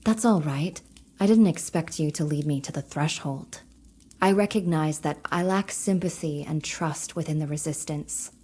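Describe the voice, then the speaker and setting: A woman's voice: low voice, moderate pace, warm tone, breathy edge. A woman playing a determined young rebel witch in a video game cutscene, speaking earnestly and formally. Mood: grateful, humble.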